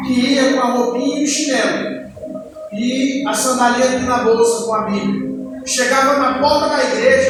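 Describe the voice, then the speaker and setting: A man in his late twenties with a Brazilian accent, giving a personal testimony sermon as a church deacon in a conversational, storytelling way. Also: very high-pitched. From Ceará, northeastern Brazil. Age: late 20s. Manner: conversational storytelling, addressing the congregation. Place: church hall. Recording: echoey hall, distant mic, music behind.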